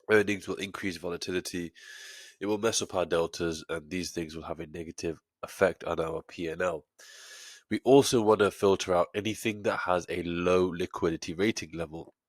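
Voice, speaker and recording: Low-voiced man with a stuffy nose. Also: no echo. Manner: steady, methodical explaining with frequent fillers. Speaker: trading educator. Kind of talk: screen-share tutorial.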